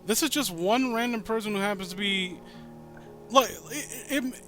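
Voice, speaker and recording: A man's voice: high voice, fairly bright tone. A man reading a story aloud with exasperated, incredulous, rambling and sweary commentary. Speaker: host reading and critiquing amateur horror fan fiction.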